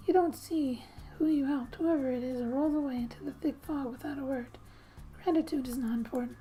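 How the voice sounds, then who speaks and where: A woman narrating a game in soft-spoken ASMR style, often dropping into a breathy whisper, reading gently like a storybook with quiet asides. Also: high-pitched, rough recording. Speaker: gaming ASMR video host.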